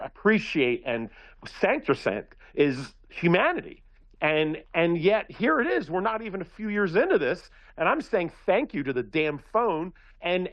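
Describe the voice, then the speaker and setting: A man from Philadelphia talking in a casual radio chat, rambling and animated, with many restarts. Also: fairly high pitch, dry recording, muffled recording.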